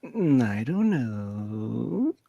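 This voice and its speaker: A man's voice: mid-range voice, sing-song, drawn-out words, vocal fry, mellow, lo-fi sound, exaggerated voice. A man playing a troubled, thoughtful female starship counselor in an amateur sci-fi radio play, speaking hesitantly. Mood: uneasy, tender.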